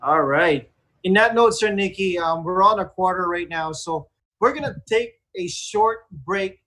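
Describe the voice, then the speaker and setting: A man's voice: high voice, measured pace, bright, forceful tone. A man with a Filipino accent chatting casually as the host of a radio talk show.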